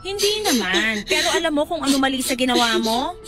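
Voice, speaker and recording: A woman's voice: sits high, expressive, brisk, over loud music. A woman with a Filipino accent playing a frank, exasperated young friend who reasons bluntly in a radio drama. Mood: frank, consoling. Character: frank, exasperated young female friend giving tough comfort.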